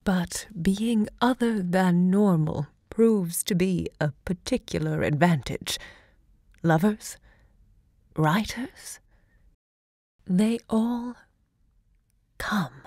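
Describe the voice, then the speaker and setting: An actress playing a self-assured literary salon hostess in an audio drama, poised and savouring each word. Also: female voice, fairly low pitch, extremely slow, long pauses, a little mellow, no echo, studio quality, full character voice. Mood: poised, instructive.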